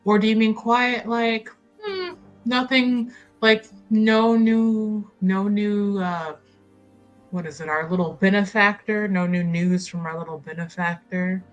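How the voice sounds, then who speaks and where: A woman's voice: medium pitch, a little slow, dull audio, faint music behind. A woman playing a tabletop game, chatting casually and wryly, slipping into imagined quotes. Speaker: tabletop roleplay player. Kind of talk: casual chat.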